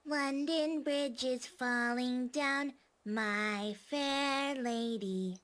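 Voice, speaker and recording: A man voicing a small girl who sings a nursery tune slowly and softly, in a hushed, eerie sing-song. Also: very high-pitched, voice pushed up, full character voice.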